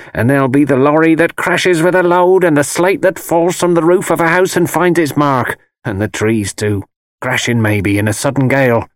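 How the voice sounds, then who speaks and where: A man's voice: a little high, fairly bright tone, no room sound, studio quality, voice pushed up. A man voicing a malicious, wheedling old woman who tells fortunes, with rustic dialect speech and ominous insistence, in an audiobook. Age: older. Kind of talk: dramatised audiobook character voice.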